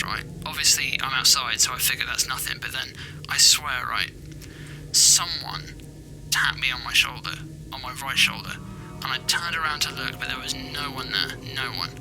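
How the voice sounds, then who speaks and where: A man's voice: mid-range voice, normal speed, over music, natural voice, rough recording. An actor playing a casual, spooked local man, insistently recounting a ghost encounter in a rambling, colloquial way for an audio drama interview. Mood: uneasy, insistent.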